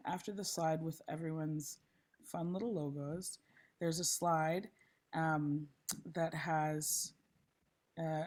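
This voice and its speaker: A woman facilitating an online meeting, a little hoarse, friendly and chatty with frequent ums, speaking through a laptop mic on a video call. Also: fairly low pitch, a little mellow. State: slightly hoarse. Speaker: session facilitator. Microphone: laptop mic, then headphones.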